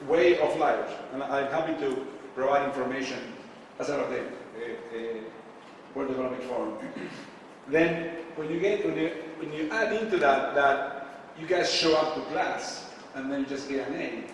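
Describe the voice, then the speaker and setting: A man from Argentina giving a casual talk to a live audience, with many filler pauses and questions put to the crowd. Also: medium pitch, slight background noise. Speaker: conference speaker. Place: event space with a live audience. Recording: echoey hall, distant mic.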